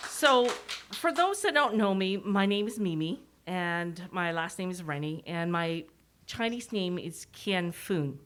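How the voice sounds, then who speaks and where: A woman's voice: fairly low pitch, animated, measured pace, a bit bright, slight background noise. Canadian woman presenting through a face mask. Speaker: executive director giving a presentation. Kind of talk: public presentation, partly read from notes.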